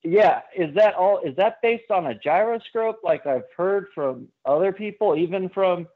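A man calling in to a radio show, chatting informally in a halting, rambling way over a phone line. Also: high-pitched, lively intonation. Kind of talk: casual chat. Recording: tinny narrow phone line.

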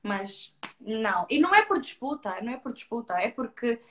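A young woman from Angola talks with a Portuguese accent tinged with Brazilian sounds, in a fairly high voice. She is frank and animated and repeats words for emphasis. Her audio comes over a video call and sounds roomy. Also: normal speed. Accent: Angolan Portuguese, slightly Brazilian-tinged. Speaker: guest, former beauty queen. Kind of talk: casual live video-call interview. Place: indoors, seated before a stone wall. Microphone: video call from a laptop.

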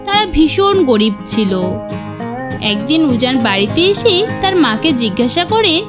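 A woman narrating a scripted cartoon fairy tale as an expressive storyteller. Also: high voice, measured pace. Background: music.

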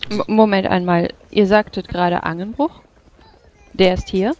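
A woman's voice: medium pitch, steady tone, normal speed, darkish tone, highs cut off, close to an everyday voice. A woman playing a stern, proper adventurer in a tabletop game, speaking formally and precisely, with a pointed edge. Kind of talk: tabletop roleplay in character.

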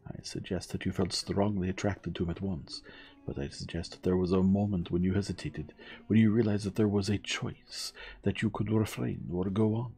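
Male narrator doing a courteous, relentless Belgian detective, dark low tone. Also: steady tone, creaky, breathy edge, exaggerated voice. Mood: probing. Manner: measured, courteous, relentlessly probing. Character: shrewd elderly male Belgian detective, polite but probing.

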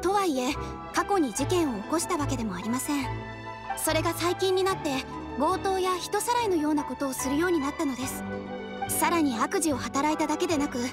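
High-voiced, polite young receptionist. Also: female voice, lively intonation, over loud music. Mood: composed. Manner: formal, measured, courteous. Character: polite young female adventurers' guild receptionist, composed. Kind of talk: anime game voice acting.

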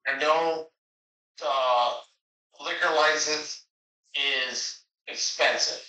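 Sharp-voiced man on an echoey phone call. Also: on the high side, extremely slow. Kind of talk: casual chat. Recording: distant echoey speakerphone call.

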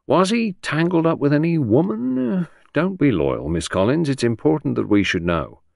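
A man playing a shrewd police inspector in an audiobook, probing in a confidential, slightly gruff manner. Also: on the high side, big pitch swings, normal speed, a little mellow, no room sound, clean studio sound, voice pushed up. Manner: easy, confidential, probing, grunting at times. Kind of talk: audiobook character reading.

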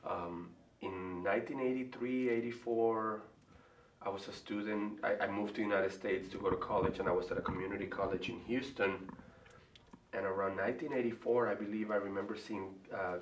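A man's voice: on the low side, fairly even intonation, a little fast. Man, Venezuelan Spanish accent, Spanish native, middle-aged, hesitant, faint hiss. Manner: thoughtful, hesitant, many ums and restarts. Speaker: artist and college faculty member. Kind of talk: interview answers. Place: room in front of a large painting. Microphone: far camera. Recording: echoey room from far off, faint hiss.

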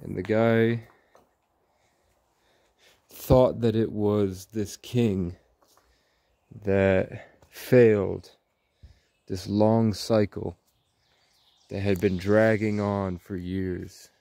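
A man in his twenties giving a slow, rambling monologue in short, halting phrases while walking outdoors. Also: lowish voice, little pitch movement, vocal fry, warm tone, dry recording. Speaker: vlogger. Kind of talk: slow rambling monologue, ASMR-style walk.

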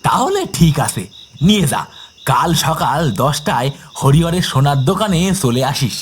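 A man voicing an excitable, scheming cartoon village fisherman who talks in exclamations. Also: on the high side, moderate pace, slight background noise, natural voice. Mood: gleeful, scheming. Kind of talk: cartoon voice acting.

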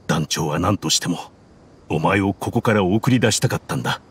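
Somber mercenary comrade in a game dub, low voice, plain and steady. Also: male voice, brisk, breathy edge.

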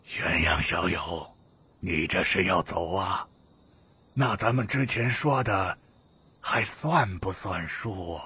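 A man's voice: deep voice, slow, pushed and bright, breathy edge, full character voice. A man playing a sly old cult member in an audiobook, drawling and mockingly polite. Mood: polite inquiry. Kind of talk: dramatic audiobook acting.

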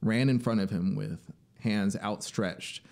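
A man in his thirties reads a literary story in a deliberate, dramatic way, drawing out key words into a close studio microphone. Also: on the low side, steady tone, gravelly, darkish tone. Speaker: narrator and musician. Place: small home studio, indoors. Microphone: close studio microphone on boom arm. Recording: music behind the voice. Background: synthesizer soundscape music.

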